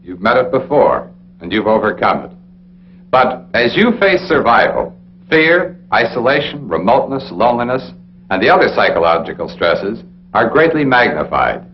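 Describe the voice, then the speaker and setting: An American man narrating a 1960s military training film in an authoritative, deliberate, instructional way, speaking straight to the listener. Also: medium pitch, very animated. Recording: dull, noisy 1960s film soundtrack with hum.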